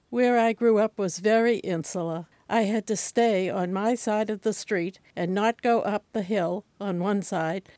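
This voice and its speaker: Older woman, Boston accent, wry measured reading, mid pitch, dry recording. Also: darkish tone, no echo. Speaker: senior center reader. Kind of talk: reading a personal essay aloud.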